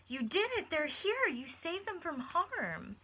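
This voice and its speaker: Thrilled storybook zookeeper, male, acted by a woman reader, pitched high and bright, exclaiming gratefully. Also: slow pace, slight background noise, exaggerated voice. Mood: overjoyed, relieved. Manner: excited, exclaiming.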